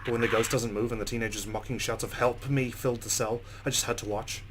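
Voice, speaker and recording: A man's voice: medium pitch, fairly even intonation, fast, heavy background noise. A man hosting a let's play, reading visual novel narration dramatically and reacting with exclamations.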